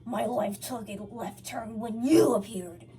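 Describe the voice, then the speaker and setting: A woman voicing a bitter, vengeful male hedgehog, a fallen hero with a forceful growling delivery. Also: fairly high pitch, a little slow, far from the mic, close to an everyday voice.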